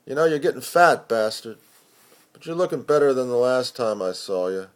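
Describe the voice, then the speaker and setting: A man's voice: mid-range voice, lively intonation, moderate pace, smooth, natural voice. A man voicing a brash, bossy magazine editor from a poem, blunt and confrontational. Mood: needling.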